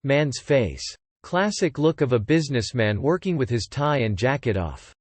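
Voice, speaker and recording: A man narrating fiction from a script as an audiobook narrator, in a measured, descriptive way. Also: mid-range voice, animated, no echo.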